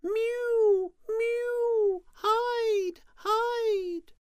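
A performer voicing storybook birds that cry out shrill, mewing and trilling warnings. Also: fairly even intonation, extremely slow, no echo, studio quality, heavily acted. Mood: urgent, crying. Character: shrill crying flock of warning birds, buzzards and swallows.

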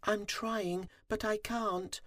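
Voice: mid-range voice, slow, a little mellow, no room sound, studio quality, voice pushed up, lightly acted. A storybook narrator voicing a sad, timid little male bee with a trembling, moaning delivery.

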